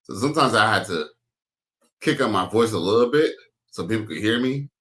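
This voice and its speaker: Man, very deep baritone, loud and crude, slangy reaction commentary, bright tone. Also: expressive, fairly quick. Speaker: commentary host.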